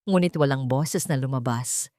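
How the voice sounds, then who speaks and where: A woman reading a dramatic audiobook story in a solemn, deliberate way. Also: very deep voice, dark tone, no room sound, studio quality. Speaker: audiobook narrator. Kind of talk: dramatic scripted story narration.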